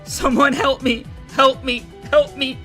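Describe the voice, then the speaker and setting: A man voicing a frightened, innocent eleven-year-old girl with a soft, childlike manner. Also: sits high, big pitch swings, unhurried, faint music behind, heavily acted. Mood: pleading.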